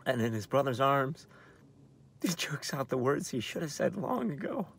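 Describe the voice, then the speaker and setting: A man performing a dramatic monologue as a grieving, tender, subdued mourner with a soft, gravelly voice. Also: mid-range voice, sing-song, normal speed, full character voice. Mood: grieving, regretful. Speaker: actor in a staged reading. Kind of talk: dramatic third-person monologue.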